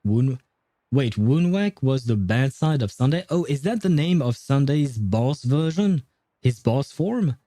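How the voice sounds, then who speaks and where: A man's voice: mid-range voice, lively intonation, measured pace, dark tone, dry recording, clean studio sound. A man livestreaming a game in casual chat, rambling and hesitant as he thinks aloud. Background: game music.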